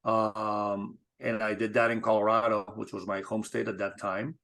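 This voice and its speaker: A middle-aged man from Spain, a guest in an interview, talking in a measured, thoughtful way with frequent restarts. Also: on the low side, level tone, creaky, fairly bright tone. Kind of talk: casual interview talk.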